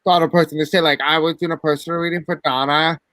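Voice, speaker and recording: A man with a fairly high, bright, sharp voice hosts a live tarot chat and reads out viewer comments. He talks in an excitable, giggly way, and the sound is dry and close. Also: fast, no room sound. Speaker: host of the channel, tarot reader.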